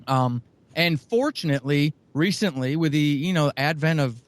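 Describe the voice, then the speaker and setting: A man's voice: on the high side, normal speed, no echo. A man who writes and produces audio dramas, answering interview questions in a rambling way with lots of fillers.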